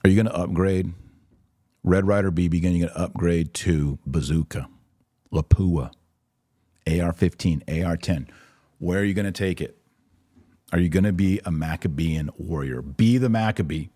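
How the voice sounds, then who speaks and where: A low, mellow-toned man delivers religious commentary as a podcast host. His voice is slightly husky and very dryly recorded, and he explains things in an emphatic, conversational teaching manner. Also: normal speed, long pauses, dry recording, studio quality. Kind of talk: live religious commentary, answering listener questions.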